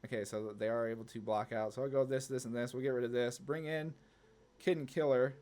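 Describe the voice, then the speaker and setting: A man's voice: mid-range voice, fairly even intonation, brisk, a little mellow. A man giving casual, slangy card game commentary, thinking aloud hesitantly as he plays. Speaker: gaming channel host.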